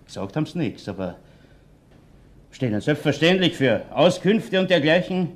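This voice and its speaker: A man's voice: on the high side, very animated, normal speed. An actor playing a touchy, well-off middle-aged father in a crime radio play, prickly and sarcastic, with an Austrian accent. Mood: sarcastic, defensive. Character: touchy, indignant well-off older businessman, a father.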